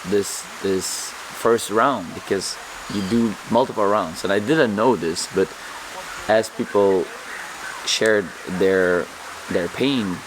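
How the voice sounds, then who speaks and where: A man vlogging calmly and earnestly, his voice slightly hoarse, often repeating words as he searches for them. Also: lowish voice, background noise. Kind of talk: reflective vlog monologue.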